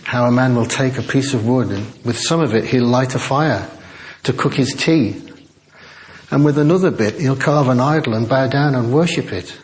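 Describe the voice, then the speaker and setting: An older man preaching a chatty, self-deprecating sermon in a quiet voice to a church congregation on a small microphone. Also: medium pitch, moderate pace, rough recording. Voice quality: quiet, soft-spoken voice. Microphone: small sensitive microphone.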